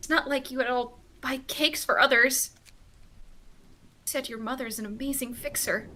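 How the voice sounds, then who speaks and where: A woman's voice: high voice, sharp tone, voice pushed up, heavily acted. A woman voicing a grieving, hot-headed young fixer in an amateur read-along of a game script.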